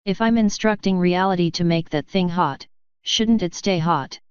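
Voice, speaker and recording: Woman reader playing curious magic student, casual, blunt, clear lowish voice, fantasy storybook, dry recording. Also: moderate pace, dry recording, muffled recording, natural voice.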